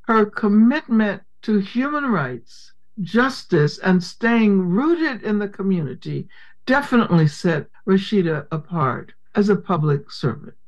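A woman's voice: medium pitch, sing-song. A woman formally introducing the main speaker at an event. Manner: measured, formal delivery. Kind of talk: read introduction. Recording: dull lo-fi sound.

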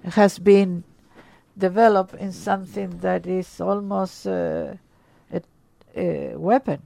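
A woman's voice: lowish voice, steady tone, slow pace, creaky, dark tone, no echo. A woman hosting a call-in radio show, speaking emphatically and often repeating words as she starts a thought. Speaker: radio host.

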